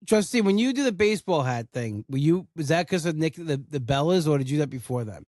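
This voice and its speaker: A man's voice: a little high, lively intonation, fast, darkish tone, no room sound, clean studio sound. Rambling man hosting a podcast. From Italian-American household. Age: 40s. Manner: rambling, full of "like" and restarts. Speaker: podcast host. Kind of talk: casual podcast chat.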